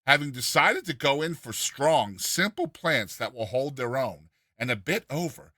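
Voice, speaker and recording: Male narrator for humorous short fiction: crisp forward brightness, mock-earnest theatrical reading, very dry studio-like sound. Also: on the high side, dry recording. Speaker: reader and narrator of a short story. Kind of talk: dramatized storytelling narration of a humorous classic essay.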